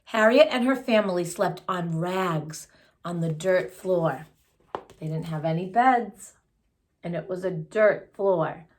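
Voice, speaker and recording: A woman's voice: lowish voice, takes it slowly. A woman reading a children's picture book aloud, phrasing it expressively and adding simple explanatory asides for young listeners. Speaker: children's story reader.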